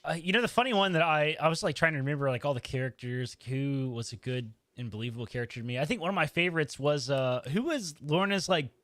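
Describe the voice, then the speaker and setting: Male podcast panelist, higher-pitched and bright, dry close sound, rambling emphatic book chat. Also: quick pace, hardly any pauses, no room sound. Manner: rambling, emphatic, often tags phrases with "right?". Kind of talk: casual roundtable book discussion.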